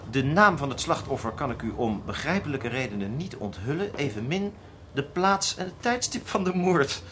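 Young sceptical detective sidekick, very animated delivery, protesting, radio drama, faint background noise. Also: male voice, mid-range voice, normal speed. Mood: mocking disbelief. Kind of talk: Dutch radio drama acting.

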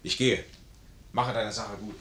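An actor playing a proud, impoverished army major in a 1950s German radio play, formal, courteous and commanding. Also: male voice, lowish voice, steady tone, measured pace, a bit bright, a little hiss or hum. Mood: resolute. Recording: roomy 1950s radio studio recording.

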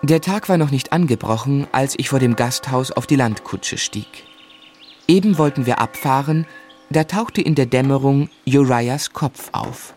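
An actor in a German radio drama playing an earnest gentleman who narrates his own story in measured, literary phrases. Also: mid-range voice, faint music behind, lightly acted. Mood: grave, observant.

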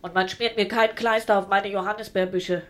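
A woman playing a sad village outcast in a radio play, gently reproachful, forgiving but firm. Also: mid-range voice, steady tone, brisk, natural voice.

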